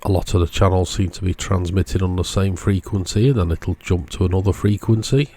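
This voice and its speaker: A man's voice: deep voice, fairly quick, dark tone, slightly rough. A man giving hands-on repair commentary for a video, thinking aloud in an unscripted way as he works. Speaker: electronics repair video creator.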